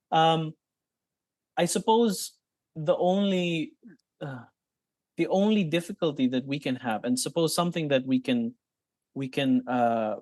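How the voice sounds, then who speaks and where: A man's voice: a little high, a little mellow, no echo. Man, Filipino accent, psychologist guest, explanatory, dry close recording. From Philippines. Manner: explanatory, mixes in Tagalog terms, many restarts. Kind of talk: thoughtful interview answers.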